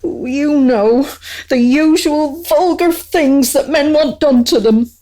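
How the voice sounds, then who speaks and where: A woman's voice: high-pitched, takes it slowly, warm tone. A woman playing a small, cheeky, aggrieved court jester in a comic historical radio play, with a theatrical, wry delivery.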